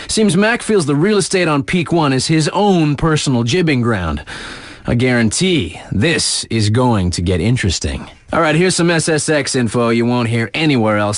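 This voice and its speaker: A man acting as a cool young male DJ on a video game sports station. His delivery swings up and down in pitch, conversational and cheeky, with teasing asides. The sound is close and dry, like a studio booth. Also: dry recording, close to an everyday voice. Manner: laid-back hype, conversational, teasing asides. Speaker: radio DJ. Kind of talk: in-game radio DJ patter on extreme sports news.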